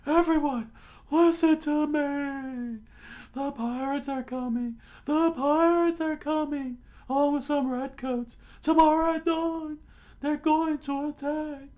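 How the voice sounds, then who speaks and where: A man voicing an alarmed, excitable young village boy in a strained, urgent falsetto while reading a story aloud. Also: slow. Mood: panicked, pleading. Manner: exclamatory, urgent.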